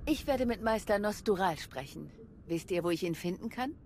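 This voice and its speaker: A woman's voice: mid-range voice, animated, normal speed, a little mellow, a little hiss or hum, lightly acted. A woman playing a resolute Jedi commander in a German game dub, composed and authoritative.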